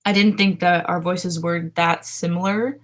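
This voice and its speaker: Young woman, livestream host, low-ish voice, casual rambling chat, frequent laughs, small bedroom, webcam mic. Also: steady tone, measured pace. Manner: chatty, laughs often, trails off with fillers. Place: small home bedroom, indoors. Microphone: webcam framing at desk.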